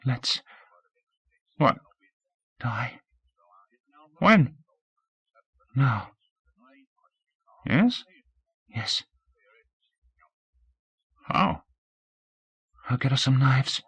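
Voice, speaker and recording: Brief: a crying, desperate teen girl, high and swooping, put on by a male reader. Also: big pitch swings, very slow, long pauses, fairly bright tone, slightly rough, heavily acted. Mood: numb. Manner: pleading, high and strained. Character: tearful, desperate teenage girl in love.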